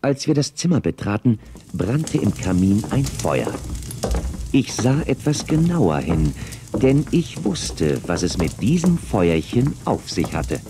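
An observant deputy tells a western tale in the first person. His male voice is deep and very expressive, with dramatic storytelling delivery. Clear noise runs behind the recording. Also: slow pace. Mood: suspicious, intriguing. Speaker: narrator. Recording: noisy transfer of old audio drama.

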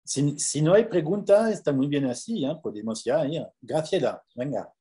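A man with a French accent speaking Spanish as a conference presenter on a video call, halting with many repeated words and fillers. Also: fairly high pitch, animated, warm tone. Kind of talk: academic Q&A over video call.

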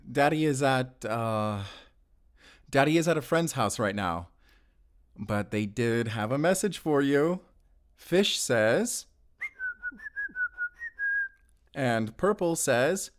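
A man playing a friendly, bashful forest ranger and doting father in an intimate audio roleplay, chatty and gently teasing. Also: medium pitch. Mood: doting, playful.

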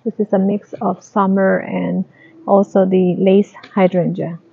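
A woman giving a casual tour of her garden, talking in a slow, halting, plain way. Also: mid-range voice, little pitch movement, gravelly, husky. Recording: dry, tinny phone-like sound.

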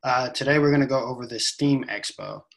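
A young man giving a relaxed step-by-step tutorial, with frequent ums, recorded on a laptop webcam in a room at home. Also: mid-range voice, fairly quick, creaky. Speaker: event staff giving a tutorial. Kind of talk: casual screen-share walkthrough.

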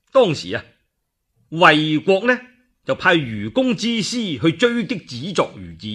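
A man's voice: on the high side, a bit bright, husky. A man with a Cantonese accent telling a classic tale as a solo radio storyteller, colloquial, theatrical and emphatic.

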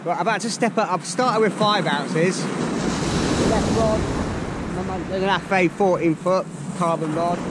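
Older Norfolk man over loud surf. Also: high-pitched, very noisy. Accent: Norfolk English. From England. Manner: relaxed, chatty, explaining tackle step by step. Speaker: fishing channel host. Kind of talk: casual chat to camera. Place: open beach at the sea, outdoors. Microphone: action camera close by. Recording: outdoor camera audio over loud surf. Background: loud surf and waves.

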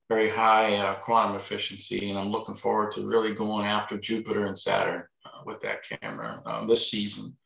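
An older man with a low, gravelly voice chats casually about his astronomy gear. He speaks in an echoey room over a video call. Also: a bit bright. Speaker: amateur astrophotographer.

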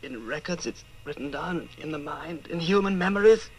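An actor playing a broken, pleading prisoner under torture in an old-time radio drama, anguished and faltering. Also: male voice, on the high side, expressive, normal speed, a little hiss or hum, lightly acted, poor audio. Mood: strained submission.

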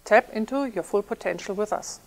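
A middle-aged woman speaks in a measured, polished way, like a university head making a formal statement. Her pitch sits in a middle range. She is recorded indoors on an interview mic. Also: vocal fry, over loud music. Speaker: university president.

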